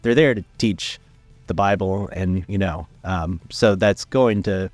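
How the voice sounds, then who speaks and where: A young man talking casually as an interview guest, relaxed and rambling, with many fillers and restarts. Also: sits low, measured pace, no echo, faint music behind. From United States, raised in Venezuela. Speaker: filmmaker guest. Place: studio.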